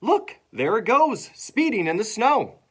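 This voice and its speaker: A man voicing a mischievous, excitable schoolboy in a children's storybook, feisty and emphatic. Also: medium pitch, normal speed. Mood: excited.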